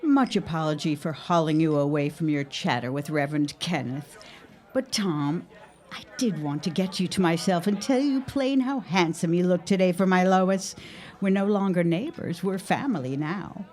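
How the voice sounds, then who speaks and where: Woman as a gracious, lower-voiced mother. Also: moderate pace, a little mellow, natural voice. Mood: gushing. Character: gracious, effusive mother of the bride. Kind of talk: audio drama acting. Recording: crowd chatter behind. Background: reception crowd.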